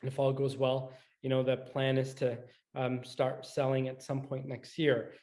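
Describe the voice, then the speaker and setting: A middle-aged man from Scotland who moved to the US as a teenager, answering interview questions conversationally in a measured way with frequent pauses. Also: mid-range voice, monotone, a little mellow. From Scotland, moved to the US in high school. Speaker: guest, orthopedic surgeon.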